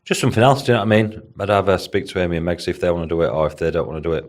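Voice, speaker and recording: A man's voice: low-pitched, racing. A man with a Hull accent co-hosting a casual podcast as a bodybuilding coach, rambling and dropping in "do you know what I mean" as he talks. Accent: Hull, Northern English. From Hull, England.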